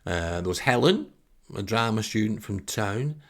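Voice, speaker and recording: Low, creaky storytelling man. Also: expressive, measured pace. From northern England. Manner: wry, exasperated. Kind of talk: comic anecdotal monologue.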